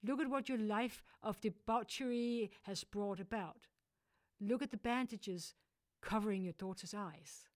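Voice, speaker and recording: A woman voicing a stern, cold male church dean who scolds loudly. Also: high-pitched, measured pace, no room sound, voice pushed up. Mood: condemning. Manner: harsh, scolding, loud. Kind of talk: audiobook character voice.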